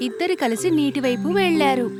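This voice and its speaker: A woman's voice: high-pitched, animated, fast talker, loud music behind the voice. A woman narrator reading a scripted story for children.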